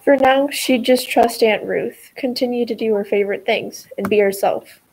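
A woman's voice: on the high side, normal speed, darkish tone, slightly rough, rough recording. A teenage girl reading a picture book aloud over a video call.

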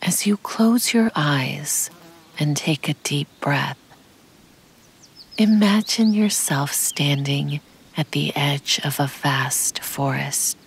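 A woman narrating a guided meditation in a calm, soothing, measured way, with a soft, breathy voice that often sinks to a near-whisper. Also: low-pitched. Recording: birdsong and forest ambience behind. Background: birdsong, insects, nature ambience.

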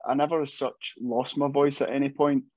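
A man's voice: mid-range voice, little pitch movement, normal speed, a little mellow, no room sound. A young man speaks from a room at home over a video call, talking loosely with lots of restarts and shrugging asides. The audio is dry and somewhat muffled, with a mid-range voice. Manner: rambling, self-deprecating, many restarts. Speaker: metal band vocalist. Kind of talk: casual interview chat.

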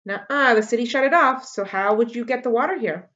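A woman voicing a bossy, blunt young girl who scolds loudly, in a dull webcam recording. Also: fairly high pitch, fairly quick, close to an everyday voice.

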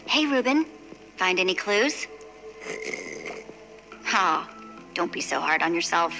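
Brief: an eager young heroine, lively and bright, slight gravel, music under. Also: female voice, on the low side, slow, full character voice, low-quality recording. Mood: urging. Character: young adult female hero, determined. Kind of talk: game voice acting. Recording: game audio under music.

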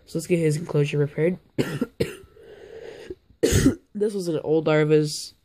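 A young man with a hoarse voice from being a little sick, casually rambling through a hands-on vlog from his floor, often stopping to correct himself. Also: on the high side, steady tone, measured pace, dark tone. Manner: rambling, self-interrupting, offhand. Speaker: bug-keeping vlogger. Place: indoors, on a carpeted floor.

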